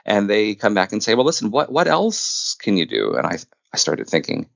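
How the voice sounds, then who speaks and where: Low voiced guy chatting on a podcast, excited and self-mocking, dry but a bit dull sounding audio. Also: normal speed, vocal fry, dry recording. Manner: enthusiastic, self-deprecating. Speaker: podcast guest, audio drama producer and narrator. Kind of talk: conversational interview storytelling.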